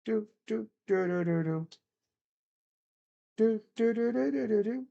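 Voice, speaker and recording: A live-stream host giving casual play-by-play of a baseball game in a loud, booming voice, chatting between pitches. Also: unhurried, vocal fry, muffled recording.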